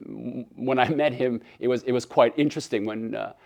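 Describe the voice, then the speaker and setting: A man from Canada whose native tongue is French gives an animated webinar keynote, often restarting phrases and acting out dialogue. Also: medium pitch, brisk, gravelly. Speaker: keynote speaker. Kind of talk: webinar presentation.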